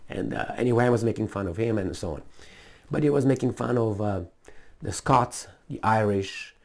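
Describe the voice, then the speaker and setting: A man with a fairly low voice and a Romanian accent talks through the news as a commentator. His delivery is casual and unscripted, blunt and emphatic. He rambles into asides and repeats phrases to drive them in. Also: normal speed. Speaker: political commentator.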